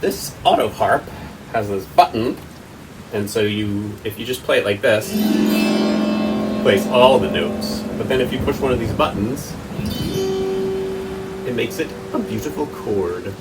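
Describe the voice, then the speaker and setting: A man's voice: on the low side, unhurried, long pauses, loud music behind the voice, poor audio. A man leading a children's storytime, chatting and asking the kids questions while he draws and explains things. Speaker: author and illustrator leading a kids' storytime. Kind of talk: playful children's storytime talk.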